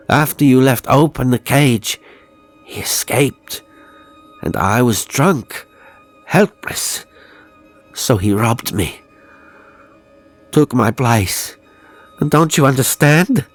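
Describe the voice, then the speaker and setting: A man's voice: medium pitch, expressive, slow, long pauses, faint music behind, voice pushed up. A man voicing a terrified soul trapped inside a ventriloquist's doll, pleading in a ghastly moan for an audio horror story. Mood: anguished despair. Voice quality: ghastly, moaning.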